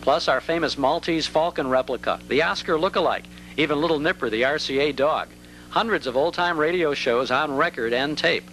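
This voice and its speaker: A man reading scripted advertising copy as a commercial announcer in a polished, measured way. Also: on the high side, fairly bright tone, slight background noise, low-quality recording.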